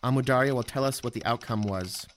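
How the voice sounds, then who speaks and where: An actor playing an anxious, dutiful military officer in an audio drama. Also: male voice, mid-range voice, fast talker, slight background noise, natural voice. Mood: subdued. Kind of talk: full-cast audio drama acting.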